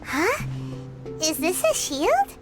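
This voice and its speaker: A woman voicing a tiny, cheeky, opinionated fairy companion in a video game, squeaky and childlike. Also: wildly swooping pitch, slow pace, over music, heavily acted. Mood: puzzled. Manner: squeaky, cheeky, refers to self in third person. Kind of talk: English video game voice acting.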